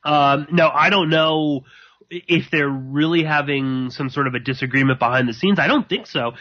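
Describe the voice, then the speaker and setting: A man hosting live radio alone, rambling and halting with many fillers, a slight sore throat in his voice. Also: medium pitch. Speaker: podcast host. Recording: dull lo-fi.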